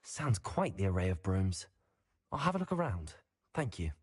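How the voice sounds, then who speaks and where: An actor playing a polite, helpful young wizard student in a video game, composed in manner. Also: male voice, low voice, sing-song, moderate pace, a little mellow, slightly rough, no echo, exaggerated voice. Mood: interested.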